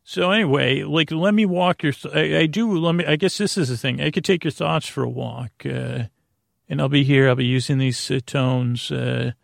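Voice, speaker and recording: A man hosting a bedtime podcast in a creaky voice, rambling soothingly and haltingly, often stammering and interrupting himself. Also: fairly high pitch, no echo, clean studio sound. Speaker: host of a bedtime sleep podcast.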